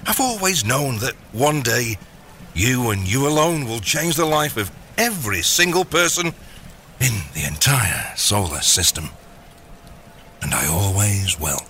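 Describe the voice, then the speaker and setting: A man's voice: medium pitch, lively intonation, a little slow, bright, forceful tone, a little hiss or hum. A man playing a gleeful, grandiose megalomaniac father, wheedling theatrically in an audio comedy drama. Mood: fervent. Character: gleeful megalomaniac villain, doting scheming father.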